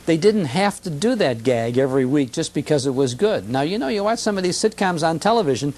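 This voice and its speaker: A man's voice: a little high, sing-song, fairly quick, darkish tone. An American man giving conversational commentary as a guest expert in an interview. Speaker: guest expert on old-time radio.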